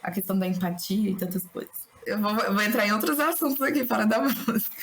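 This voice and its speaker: Hoarse, talkative Brazilian woman from Brasília. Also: mid-range voice, lively intonation, fast. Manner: talkative, discursive, philosophical. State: hoarse from a cold. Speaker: singer-songwriter, podcast guest. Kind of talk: casual reflective interview chat.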